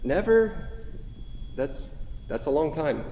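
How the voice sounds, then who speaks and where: A man's voice: a little high, big pitch swings, slow, dark tone, full character voice. A man reading the part of an insecure, needy lover in a staged play, earnest, apologetic and wordy. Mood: sad, wry. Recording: echoey theatre hall, faint noise.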